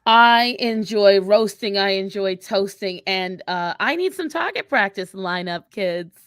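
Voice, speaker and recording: A woman's voice: medium pitch, unhurried, a bit bright, smooth, no room sound, studio quality, natural voice. A woman voicing a brash, cocky fantasy comic character with a snarky, haughty, taunting manner in an improvised live chat.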